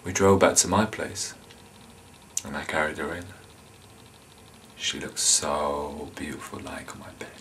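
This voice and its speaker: Man acting a deep-voiced, menacing unhinged killer. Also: normal speed, a little hiss or hum. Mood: hushed, chilling. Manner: confessional, volatile, swinging from hushed to explosive. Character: insane, menacing male killer, a resentful sadistic loner. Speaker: actor. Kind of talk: dramatic monologue.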